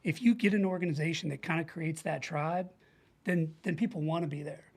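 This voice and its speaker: Higher-voiced middle-aged American man, earnest and informal. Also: a little fast, a little mellow, slightly rough. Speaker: podcast guest, leadership coach. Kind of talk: casual conversational interview.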